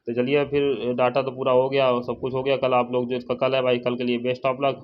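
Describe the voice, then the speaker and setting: A man's voice: medium pitch, monotone, normal speed. A man giving a casual, informal update to viewers, repeating points and addressing them familiarly as brother. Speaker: exam-update YouTuber and channel host. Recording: dull lo-fi sound, faint noise, birds.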